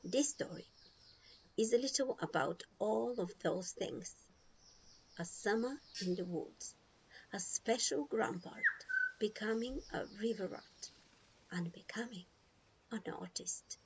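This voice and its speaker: Slow, expressive woman storybook reader. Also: medium pitch, rough recording. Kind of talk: expressive children's storybook narration. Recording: clear background noise.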